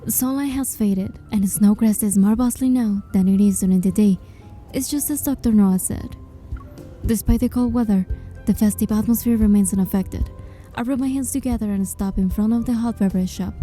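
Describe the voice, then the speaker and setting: A woman with a very mellow, dark tone narrating her own character's story over soft music, as heard in a fan reading of a romance game. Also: mid-range voice, moderate pace. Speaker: narrator and heroine's voice. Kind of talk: scripted first-person story narration. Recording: music under the voice.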